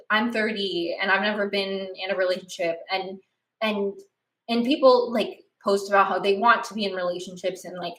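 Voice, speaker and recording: A woman in her late twenties, hosting a podcast in a rambling, animated chat full of restarts and fillers. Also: medium pitch, roomy sound, low-quality recording. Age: 20s. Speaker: podcast host. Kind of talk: casual chat.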